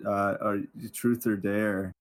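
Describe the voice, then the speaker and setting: A man's voice: fairly low pitch, flat delivery, measured pace. A man chatting casually on a group voice call with a noisy connection.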